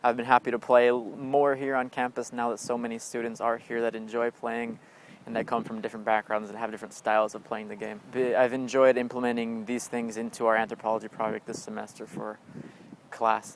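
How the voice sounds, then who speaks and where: A man's voice: medium pitch, a little hiss or hum. A young man from the United States, an anthropology student, introducing himself earnestly and stumbling a little. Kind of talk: casual self-introduction.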